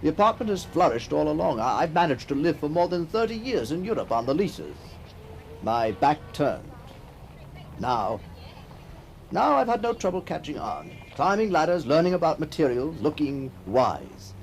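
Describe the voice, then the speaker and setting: A middle-aged gentleman back from long years abroad in a radio drama. His gravelly voice swings up and down as he muses with wry hesitation. A faint noise sits behind him. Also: on the high side, very animated, normal speed, poor audio. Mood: amused. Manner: musing, hesitant, wry, with small chuckles. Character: middle-aged wistful man returned from decades abroad.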